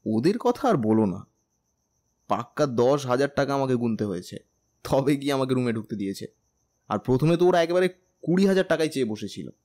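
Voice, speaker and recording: A man's voice: mid-range voice, big pitch swings, a little fast, darkish tone, close to an everyday voice. A man playing a tender, teasing young bridegroom in a romantic audio drama, speaking earnestly and persuasively. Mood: playful complaining. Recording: music bed under the voice.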